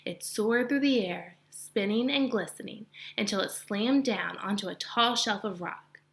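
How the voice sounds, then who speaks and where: A woman reading a children's novel aloud with expression, pausing to explain narrative point of view to her listeners like a teacher. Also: mid-range voice, gravelly, low-quality recording.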